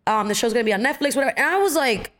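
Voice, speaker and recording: A woman's voice: fairly high pitch, very fast. A young adult woman, an actress, telling stories in a casual interview and acting out the lines of people she quotes. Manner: animated, acts out quoted dialogue, many fillers. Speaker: actress guest.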